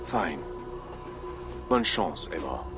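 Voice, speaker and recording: A man's voice: a bass voice, very animated, slow, over music, exaggerated voice. An actor playing an ambitious Frankish nobleman and rebel leader in a game cutscene, formal and grandiose. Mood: ambitious, persuasive. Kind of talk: dramatic video game cutscene acting.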